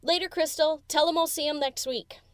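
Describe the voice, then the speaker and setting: Woman playing a cheeky DJ mare, slightly high voice, cocky, slangy, teasing, storybook character. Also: fairly even intonation, normal speed, lightly acted. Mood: breezy. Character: cheeky, cocky female DJ pony, casual and teasing. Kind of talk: audiobook character acting.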